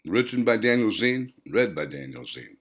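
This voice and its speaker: A man reading his own novel aloud as a dramatic audiobook, phrasing deliberately and drawing out words for emphasis. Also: fairly low pitch, very animated, slight background noise. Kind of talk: dramatic scripted audiobook narration.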